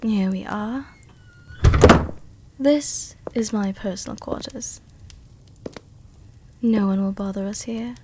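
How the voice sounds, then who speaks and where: A woman playing a worldly, softly dominant vampire countess in an intimate roleplay ASMR, with a soft, gentle, tenderly commanding way of speaking. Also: on the high side, a little hiss or hum. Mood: gentle, coaxing.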